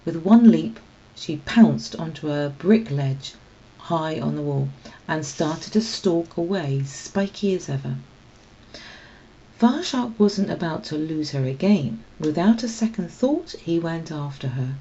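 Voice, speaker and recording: Slow, low-voiced woman reading a story. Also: lively intonation, dark tone, highs cut off. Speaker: storybook reader. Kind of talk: expressive scripted narration for children.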